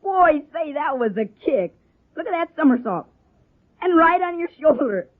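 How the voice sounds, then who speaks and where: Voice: sits high, expressive, normal speed. An actor playing an eager, wide-eyed boy at a circus, gushing in slangy exclamations in a 1930s radio serial style. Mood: excited. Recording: tinny, band-limited old radio recording.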